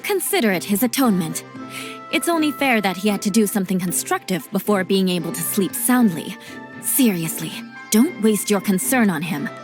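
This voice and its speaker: A woman's voice: medium pitch, expressive, normal speed, over music, natural voice. A woman playing a composed, wry female knight in a video game cutscene, with a dry, knowing manner.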